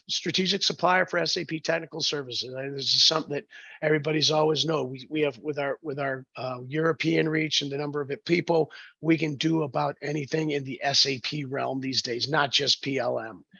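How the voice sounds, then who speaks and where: A man with a hoarse, froggy voice hosting a business webinar, halting with many fillers and restarts. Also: fairly high pitch, fairly even intonation, sharp tone, no echo, muffled recording. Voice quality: gravelly. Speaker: webinar host, sales director. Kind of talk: webinar presentation.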